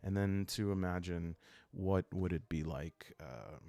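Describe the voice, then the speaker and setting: A man hosting a solo role-playing game channel, explaining in a calm, unhurried ASMR style with halting, reflective phrasing. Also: deep voice, no room sound.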